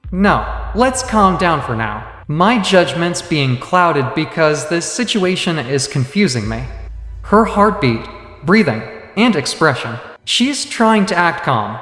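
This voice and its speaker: A man playing a calm, analytical young hunter who leads a guild in a manga dub, probing and deadpan. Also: a little high, no room sound, heavily acted.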